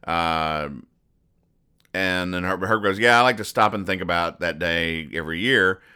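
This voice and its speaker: A man hosting a podcast in a casual, rambling commentary style, explaining jokes with deadpan mock-earnestness. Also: deep voice, measured pace, clear, resonant tone. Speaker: podcast host.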